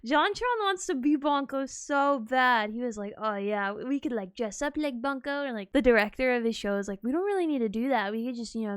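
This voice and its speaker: A high-pitched woman chats through her reaction to a video, breaking into gasps, giggles and loud exclamations. Her voice sounds dry and close, with no room around it. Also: smooth, no room sound. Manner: giggly, gasping, exclaims loudly. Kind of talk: casual reaction commentary.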